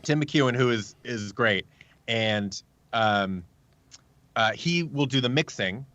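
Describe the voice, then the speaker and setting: A man's voice: medium pitch, lively intonation, slow pace, a bit bright, no room sound, lo-fi sound. Man chatting casually, acting out exchanges. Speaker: audio drama producer and sound designer, interview guest. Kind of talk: casual chat.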